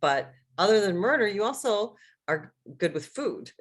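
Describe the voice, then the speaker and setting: A woman's voice: mid-range voice, big pitch swings, normal speed. A mature woman conducting a casual, conversational interview over a video call. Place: indoor room with bookshelves, video call. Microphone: video call microphone.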